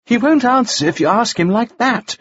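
Agitated gold-rush objector for an audiobook, acted by a man; defiant, high, slightly rough, insistent. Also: big pitch swings, brisk, highs cut off. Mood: urgent, defiant. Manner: urgent, insistent. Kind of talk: audiobook character voice.

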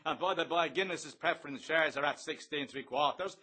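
A man's voice: a little high, fairly quick, pushed and bright, husky. A man playing a sadistic, domineering bully of a brothel boss, bombastic and gloating, sliding from wheedling to savage in a theatrical literary drama. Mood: angry bluster.

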